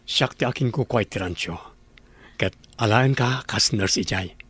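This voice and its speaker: A man's voice: fairly low pitch, measured pace, husky. A man voicing a polite ranch owner who hires a nurse for his ranch. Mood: courteous. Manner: calm, courteous. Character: courteous wealthy ranch owner.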